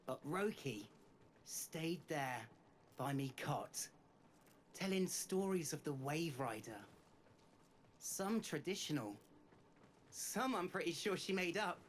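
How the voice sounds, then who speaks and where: A man playing a scrappy, earnest young street kid in a game cutscene, with slangy, heartfelt speech and rough grammar. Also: fairly high pitch, a little hiss or hum.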